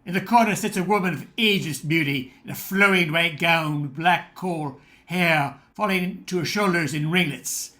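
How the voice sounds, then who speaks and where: A man's voice: a little high, normal speed, few pauses, sharp tone. A man playing the formal, theatrical host and narrator of an old-time style radio play. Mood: theatrical, inviting.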